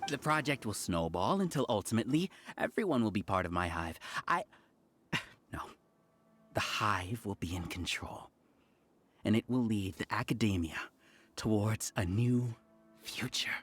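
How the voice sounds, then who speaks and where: An actor playing a smug, grandiose scholar villain who leads a cult-like hive, theatrical and condescending in a video game. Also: male voice, on the low side, lively intonation, unhurried, fairly bright tone. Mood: grandiose, bitter.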